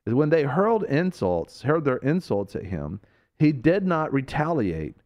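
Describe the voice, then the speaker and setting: A male radio preacher with a very lively, rising-and-falling voice. He drives his points with fervent emphasis, then drops into slow, hushed phrases. His pitch sits in the middle range for a man. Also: lo-fi sound. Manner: fervent, emphatic, swings between loud and hushed. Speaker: radio show host and preacher.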